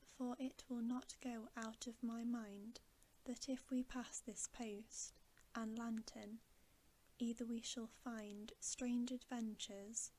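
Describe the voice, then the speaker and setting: A woman voicing an earnest, reflective young queen in a storybook, softly, with polite, formal phrasing. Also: fairly high pitch. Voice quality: soft, hushed.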